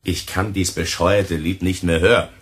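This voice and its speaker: An actor playing a brash, money-minded entertainment editor in a radio drama, loud and abrupt. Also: male voice, low-pitched, level tone, normal speed, close to an everyday voice. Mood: irritated, bossy.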